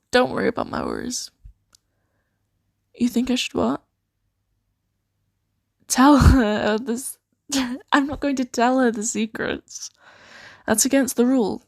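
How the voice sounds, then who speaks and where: A woman improvising an ASMR roleplay as a kind, shy, flustered boss of a secret sanctuary, speaking softly and hesitantly with giggles. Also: a little high, vocal fry, dry recording, clean studio sound, heavily acted. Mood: gentle, protective. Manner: hesitant, giggly, soft-spoken.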